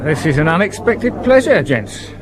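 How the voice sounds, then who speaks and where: A man's voice: fairly high pitch, sing-song, normal speed, crowd noise, noisy background. A British man playing a loyal, wry and wary theatre dresser in a 1970s radio drama, chatty and informal. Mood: wry, breezy.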